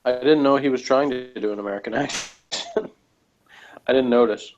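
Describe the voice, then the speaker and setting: A man with a mid-pitched voice talks casually as a co-reviewer. His sentences are tentative and meander along. The sound is dull and lo-fi, as on an online call. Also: a little fast, slight background noise. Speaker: co-host or guest reviewer.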